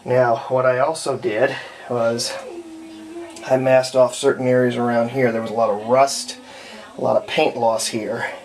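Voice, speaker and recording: Casual man with a gravelly, creaky voice. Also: medium pitch, level tone, darkish tone, low-quality recording. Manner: unhurried, rambling, explaining step by step. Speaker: hobbyist radio restorer presenting own repair videos. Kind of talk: casual hands-on restoration commentary. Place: indoors at home, partly in a bathroom.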